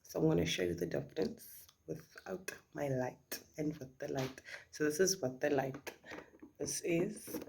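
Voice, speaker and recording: A woman's voice: deep voice, unhurried, creaky, a little mellow, a little hiss or hum. A middle-aged South African woman, Afrikaans her first language, chatting casually as she does her makeup for a vlog, often dropping into a whisper. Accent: South African English. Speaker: beauty vlogger. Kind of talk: casual makeup chit-chat.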